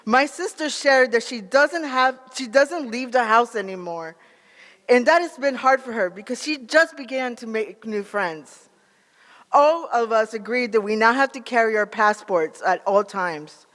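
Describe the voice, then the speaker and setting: A man with an American accent giving a prepared advocacy speech in an earnest, measured manner. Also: very high-pitched, pushed and bright, in a large hall. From United States, born in Peru. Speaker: national organizer, advocate.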